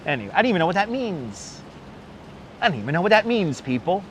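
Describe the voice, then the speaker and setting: A man's voice: high voice, sing-song, fast talker, fairly bright tone. A man from Brooklyn with a faint New York accent, hosting a casual livestream outdoors on a city street and rambling as he thanks viewers by name on a clip-on mic. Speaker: livestream host. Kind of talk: casual live-stream chat while out in the city. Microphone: wireless clip-on mic. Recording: street noise in the background.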